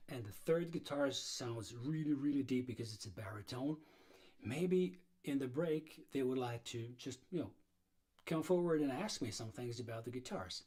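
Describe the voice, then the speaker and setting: A man's voice: medium pitch, a little mellow. A middle-aged man from Serbia, hosting a blues guitar livestream in a casual, rambling way with many fillers and restarts.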